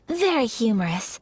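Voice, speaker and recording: A woman's voice: fairly high pitch, full character voice. A woman voicing a courteous, resolute young noblewoman in a video game, speaking with formal composure. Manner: formal, composed, measured politeness. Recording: faint music under the voice.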